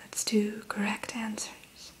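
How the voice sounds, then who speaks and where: A woman in an ASMR roleplay who whispers gently and courteously as the keeper of a dragon hatchery. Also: medium pitch, completely flat delivery, slow pace, slightly rough.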